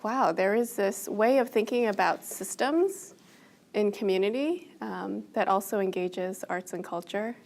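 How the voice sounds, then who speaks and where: American woman interview guest, medium pitch, reflective and explanatory, conversational delivery. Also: measured pace, gravelly, a little mellow. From Boston, United States.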